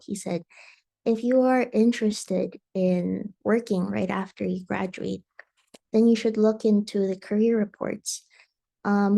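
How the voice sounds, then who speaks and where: A young woman from Indonesia answers panel questions in a casual, detailed way, giving advice with frequent ums. Her voice is heavily creaky and mellow rather than bright. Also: mid-range voice. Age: young adult. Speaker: transfer student panelist.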